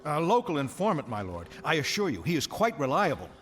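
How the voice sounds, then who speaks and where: A servile Imperial officer in a space opera audio drama. He stammers obsequiously with extremely animated pitch, in a long cavernous reverb. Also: brisk, a little hiss or hum. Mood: nervous, placating. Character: nervous, servile Imperial colonel, a grovelling man.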